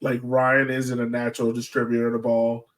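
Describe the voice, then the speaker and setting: A man's voice: mid-range voice, completely flat delivery, brisk. A man co-hosting a podcast, giving casual, conversational sports analysis. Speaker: podcast co-host.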